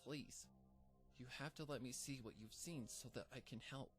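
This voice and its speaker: A man voicing a gentle, caring female unicorn pony who talks in a soft, pleading, reassuring way. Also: medium pitch, normal speed, faint music behind, close to an everyday voice.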